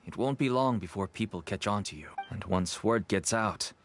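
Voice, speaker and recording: A man's voice: fairly low pitch, normal speed, soft background music, close to an everyday voice. An actor playing a wary, reluctant male dealer and informant in a video game, speaking guardedly. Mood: worried. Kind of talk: scripted video game dialogue.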